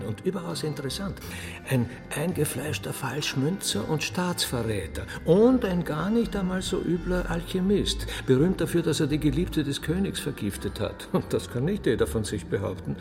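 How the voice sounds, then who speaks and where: A sardonic master of ceremonies with an Austrian accent, in a reverberant radio play with music underneath. He sits a little high and creaky, presenting guests with ornate, gossipy relish. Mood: sly relish.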